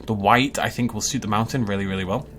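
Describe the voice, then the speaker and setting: A man's voice: on the low side, fast talker, a bit bright, a little hiss or hum. A man giving casual, chatty commentary while building a park in a video game, laughing often and making playful asides. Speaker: game channel host.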